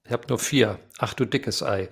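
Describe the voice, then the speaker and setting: A middle-aged man, a voice actor, chatting casually as an interview guest, hesitant with many fillers and quick to laugh. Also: fairly low pitch, gravelly, slightly rough. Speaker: radio play voice actor, guest.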